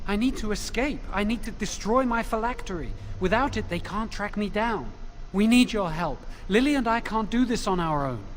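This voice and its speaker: High-voiced, desperate young mage. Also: male voice, animated, moderate pace. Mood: pleading. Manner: earnest, pleading. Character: anxious young male apprentice mage, desperate, lovestruck. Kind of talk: video game voice acting.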